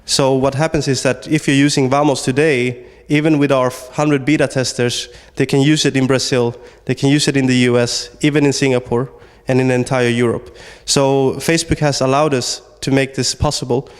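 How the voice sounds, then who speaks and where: A man's voice: medium pitch, flat delivery, normal speed, few pauses, clear, resonant tone, long reverb tail. A man from Sweden, a startup founder, answering interview questions hesitantly with many fillers and restarts.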